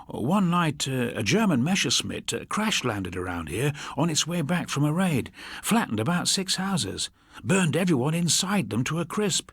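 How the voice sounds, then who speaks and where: An older British man voicing a police constable in an audiobook, halting, blunt and grimly chatty. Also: on the high side, very animated, fairly bright tone, slightly rough, no room sound, voice pushed up, heavily acted. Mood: grim recounting.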